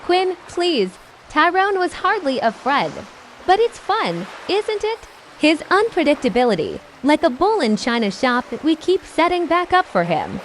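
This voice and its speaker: A woman's voice: high-pitched, very animated, unhurried, a little hiss or hum. A woman voicing a playful villainous sister in a motion comic, teasing and sing-song.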